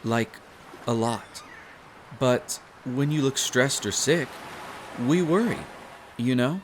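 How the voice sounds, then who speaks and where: A man's voice: mid-range voice, takes it slowly, slight background noise, natural voice. A man acting as a smitten young barista on a beach outing in an intimate roleplay audio, playful, bashful and caring as he rambles. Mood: concerned, tender.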